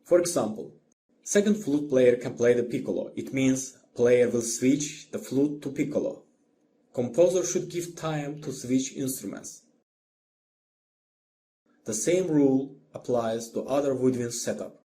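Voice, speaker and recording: Mellow Azerbaijani-accented man lecturing. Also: medium pitch, unhurried, a little hiss or hum. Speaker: orchestration teacher. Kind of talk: scripted lecture narration.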